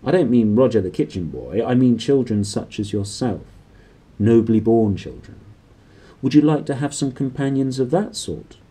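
Storybook elderly priest, acted by a man: paternal, questioning, lively pitch, soft-edged tone. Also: normal speed, breathy edge. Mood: kindly, probing. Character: plump elderly clergyman, kindly, gently probing.

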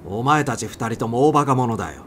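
A man's voice: mid-range voice, expressive, fairly quick, voice pushed up, natural voice. A man voicing a panicked, bitter, angry male airline passenger in a story reading. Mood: bitter, brooding. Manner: snappish, agitated, brooding. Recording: clear background noise.

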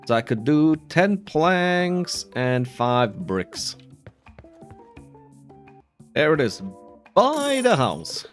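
Guy doing chill lets play commentary, talks slow but pitch goes all over, music under it. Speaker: host of an edited game playthrough. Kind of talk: casual gameplay commentary.

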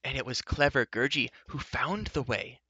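A small, wild storybook creature, always hungry and forever praising himself. The voice is bright and pushed, whining in sing-song rhymes about his own loyalty. The recording is a little dull. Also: medium pitch, animated, moderate pace, slightly rough, no echo, pitched above the performer's own voice. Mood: proud, reproachful.

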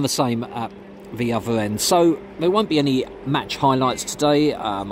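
A man giving a casual walking commentary as a vlogger, talking with lots of ums while he films on his phone around a big football stadium. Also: fairly low pitch. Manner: chatty with many ums. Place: outdoors and inside a large football stadium. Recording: noisy outdoor stadium recording.

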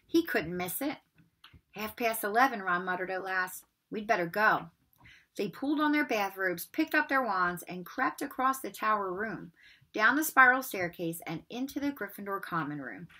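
A middle-aged woman speaks as a teacher to her pupils from a room at home. Her voice is bright and forceful, sitting at an average pitch, as she reads stories and talks casually. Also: breathy edge. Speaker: teacher reading aloud for distance learning. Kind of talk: storybook read-aloud and casual talk to pupils. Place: bedroom at home. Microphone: laptop or webcam microphone at desk distance.

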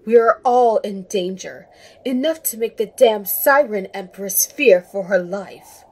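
Woman playing a husky, commanding warrior leader. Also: medium pitch, measured pace, darkish tone, lightly acted. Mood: urgent commanding. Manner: urgent, commanding. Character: commanding, alarmed female fantasy warrior leader. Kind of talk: fantasy audio drama.

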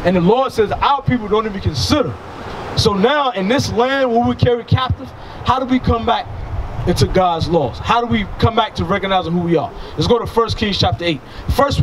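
A man in his thirties preaching outdoors by a street into a handheld mic, emphatic and full of rhetorical questions. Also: high-pitched. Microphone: handheld microphone. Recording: outdoor traffic noise. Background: passing cars.

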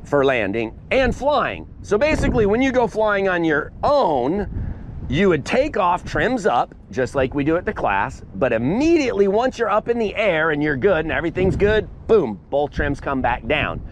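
A man's voice: high voice, very animated, moderate pace, slight background noise. A man instructing a paramotor class outdoors on a sandy beach, explaining gear emphatically with punchy asides, recorded on a head-mounted camera. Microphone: head-mounted camera mic.

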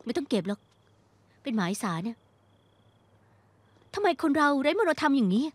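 A woman's voice: high-pitched, sing-song, a little fast. An actress playing a distraught, insistent young woman in a dubbed TV melodrama, pleading and emotional. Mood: bitter, pleading. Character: distraught, insistent young woman fighting for her father's inheritance.

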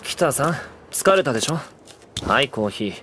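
A man's voice: mid-range voice, very animated, moderate pace, background noise, close to an everyday voice. A man playing a cool, polite, unruffled young undead man in an anime audio drama. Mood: calm.

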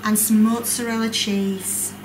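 Cooking instructor, woman, 40s; slow, patient delivery, distant mic in a roomy kitchen with noise. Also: fairly high pitch. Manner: calm, clear, instructive. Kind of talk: step-by-step cooking demonstration. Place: large college kitchen classroom, indoors. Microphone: distant camera mic. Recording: echoey room, voice from afar. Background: kitchen clatter and room noise.

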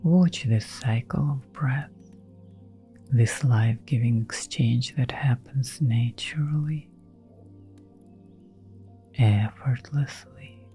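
A woman guiding a relaxation meditation in a soft, breathy half-whisper, giving gentle, soothing instructions with long pauses. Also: extremely low pitch. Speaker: meditation guide. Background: background music.

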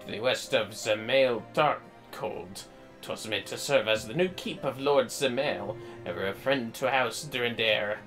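A man voicing a solemn knight in a fantasy game, speaking formally in measured, archaic phrasing. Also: medium pitch, a bit bright, faint music behind, lightly acted. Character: solemn knight officially petitioning an adventurer for aid.

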